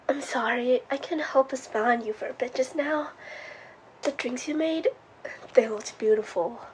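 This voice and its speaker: A woman voicing a polite, wistful, possessive serpent in a scripted, theatrical monologue, speaking gently and formally. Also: high voice, measured pace, a little hiss or hum, low-quality recording. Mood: polite, delighted. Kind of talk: scripted character monologue to the listener.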